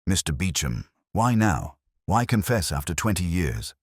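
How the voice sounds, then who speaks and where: A man voicing a shrewd, sceptical police inspector in a mystery story, measured and probing. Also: sits low, sing-song, dry recording, studio quality, heavily acted. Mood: probing scepticism.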